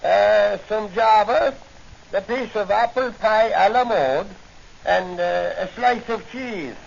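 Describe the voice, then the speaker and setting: High gravelly wisecracking cop sidekick. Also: male voice, takes it slowly, heavily acted. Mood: eager. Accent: American. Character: hearty, wisecracking sidekick policeman, big appetite. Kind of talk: 1940s radio acting. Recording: noisy, dull 1940s radio recording.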